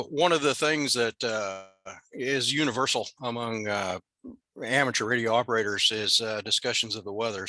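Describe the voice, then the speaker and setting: A man's voice: mid-range voice, sharp tone, no echo. An older American man from Nebraska giving an informal presentation to a club in an easygoing, self-deprecating way. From Nebraska, USA. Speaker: guest presenter at an amateur radio club. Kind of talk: informal presentation over video call.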